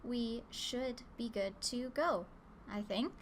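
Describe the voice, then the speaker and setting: Woman streaming herself drawing, kinda nasal from a cold, talks slow and easygoing, lots of ums. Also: a little high, slight background noise. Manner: easygoing, rambling, lots of filler words. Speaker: live-stream artist host. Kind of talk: casual live-stream chat while drawing. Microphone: open desk microphone.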